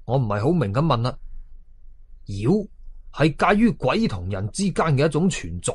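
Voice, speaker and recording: A man's voice: mid-range voice, a little mellow, slightly rough, dry recording. A man with a Hong Kong Cantonese accent narrating a horror story dramatically, as an audio storyteller.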